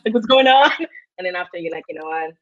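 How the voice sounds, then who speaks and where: A woman in her thirties hosting a casual online interview, effusive and fond of shout-outs, heard over a video call. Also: on the low side, expressive, fast talker, noisy background. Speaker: host. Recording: slightly dull lo-fi sound.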